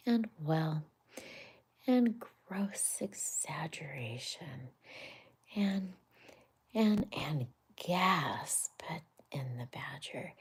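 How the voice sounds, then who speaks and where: A woman's voice: deep voice, breathy edge. A woman whispering a bedtime story aloud as its host. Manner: soft whisper.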